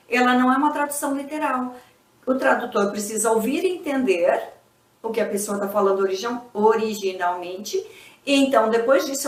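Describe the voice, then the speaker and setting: A native Portuguese-speaking woman teaches English in a patient, explanatory way, pausing to check in with her students. Her voice sits a little high. She sounds a bit away from the mic, in a room with a noticeable echo. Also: far from the mic. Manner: didactic, checks in with frequent tag questions. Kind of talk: explanatory video lesson.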